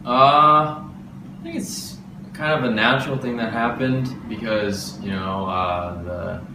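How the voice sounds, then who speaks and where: A man's voice: mid-range voice, slow pace. A man in his late teens with an American accent, a university student answering interview questions in an informal, tentative way. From Washington state, United States. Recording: distant mic, echoey room, clear noise.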